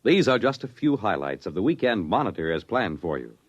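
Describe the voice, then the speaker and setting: A man's voice: fairly low pitch, big pitch swings, fairly quick, breathy edge, highs cut off. A man with an American accent announcing in a dramatic, declamatory 1950s radio style. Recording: restored vintage radio recording.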